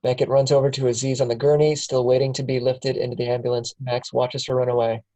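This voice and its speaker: A man reads screenplay action lines as narrator. His voice is mid-pitched and plain, with a matter-of-fact scripted delivery. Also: little pitch movement, fast, warm tone, rough recording. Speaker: narrator reading stage directions. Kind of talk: scripted narration at a table read.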